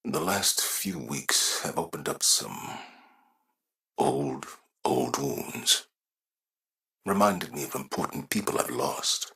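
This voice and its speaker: Deep, gravelly, weary veteran leader. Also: male voice, big pitch swings, pushed and bright, exaggerated voice. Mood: pained. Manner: measured, earnest, subdued. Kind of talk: video game dramatic dialogue.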